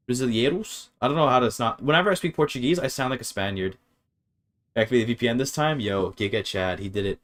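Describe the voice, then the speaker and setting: Chatty man streaming, thinking aloud. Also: medium pitch. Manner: thinking aloud, chatty, reads chat and works through grammar. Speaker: streamer learning a language. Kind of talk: casual live-stream commentary.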